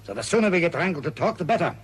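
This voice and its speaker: An actor playing a cold, calculating villain on the run, with a husky voice, in a 1940s-style radio serial. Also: male voice, fairly high pitch, very animated, very fast, heavily acted.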